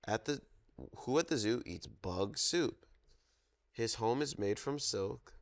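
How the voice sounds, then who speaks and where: A man reading a children's picture book aloud, pausing to prompt listeners for guesses. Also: medium pitch, lively intonation. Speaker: storybook reader at a children's story time.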